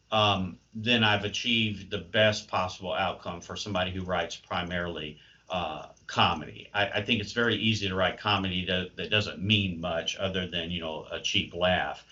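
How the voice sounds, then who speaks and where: A man with a low but bright-edged voice speaks as an interview guest. He is thoughtful and wry, picking his words hesitantly. The audio is slightly dull and muffled. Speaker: playwright and creative writing professor, interview guest. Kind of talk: conversational interview answers.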